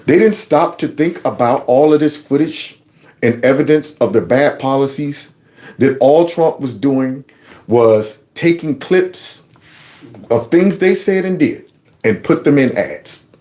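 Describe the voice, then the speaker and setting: A man giving casual political commentary on his channel, talking in a mocking, animated way. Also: mid-range voice, a little slow. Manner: animated, mocking, slips into put-on voices. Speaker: commentary vlogger.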